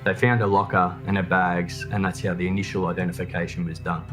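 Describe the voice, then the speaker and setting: Male true crime narrator, low register, scripted documentary read, faint music underneath. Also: level tone, quick pace.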